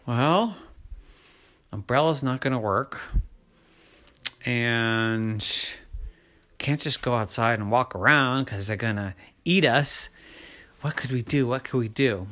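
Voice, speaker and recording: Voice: medium pitch, a bit bright. A storyteller voicing a bemused, dithering father who muses hesitantly and repeats himself. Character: bemused, dithering dad, slow to find ideas.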